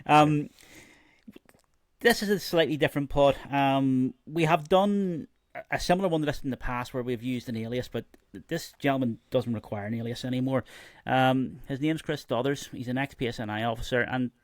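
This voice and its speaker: A man with a Belfast accent, hosting an informal interview in a measured, halting way. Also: medium pitch, no echo. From Belfast, Northern Ireland. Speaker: podcast host.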